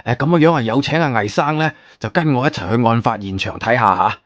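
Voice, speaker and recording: A man's voice: mid-range voice, lively intonation, no room sound, dull audio, natural voice. A man playing a polite, anxious museum director, courteous and hesitant, in an audio drama. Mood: obliging. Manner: courteous, hesitant, drawn-out obliging phrases. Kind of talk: Cantonese audio drama acting.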